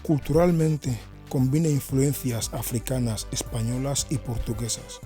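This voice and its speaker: A man's voice: medium pitch, a little slow, dark tone. A man from Equatorial Guinea reads scripted, informative documentary narration. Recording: music under the voice. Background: background music.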